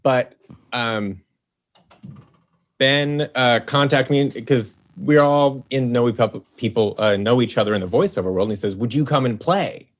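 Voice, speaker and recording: A man chatting on a video call, telling animated stories and acting out quoted lines. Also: medium pitch, moderate pace. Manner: animated storyteller, acts out quotes. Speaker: podcast guest, head writer. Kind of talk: casual chat on a video call.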